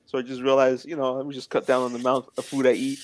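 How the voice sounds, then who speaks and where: A man's voice: medium pitch, monotone, rapid-fire, no echo. A man in his twenties, a guest in a casual interview, talking in an earnest, plainspoken way. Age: 20s.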